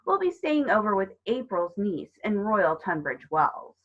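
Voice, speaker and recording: A woman's voice: medium pitch, very animated, a little slow, fairly bright tone, lo-fi sound. A woman voicing a chatty, kindly elderly lady neighbour in a storybook, genteel and fond. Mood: fond, apologetic.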